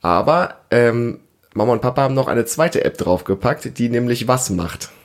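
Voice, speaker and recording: A man around his thirties or forties hosting a casual family podcast, explaining things and gently prompting with questions. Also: medium pitch, animated, normal speed. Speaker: podcast host, father interviewing his child.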